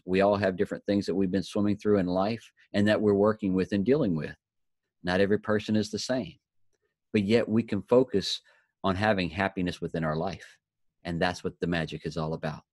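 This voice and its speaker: A man with a low voice leads a motivational group in a folksy, encouraging way. The recording is close and dry, with little room around him. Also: fairly even intonation, fairly quick, a little mellow, dry recording. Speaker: host of a daily inspirational group.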